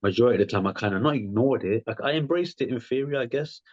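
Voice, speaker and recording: A man's voice: mid-range voice, expressive, fast talker, no room sound, dull audio. A man in his thirties, a podcast guest, talking frankly in loose, rambling phrases with plenty of "um" and "like". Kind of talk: conversational interview.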